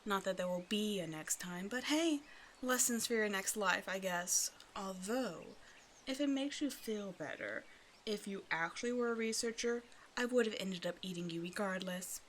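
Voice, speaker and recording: A woman's voice: medium pitch, normal speed, a little hiss or hum, natural voice. A woman voicing a hungry half-snake naga in an ASMR roleplay, teasing and condescending, with mock-sweet menace. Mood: smug, condescending. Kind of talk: ASMR roleplay audio drama, addressing the listener.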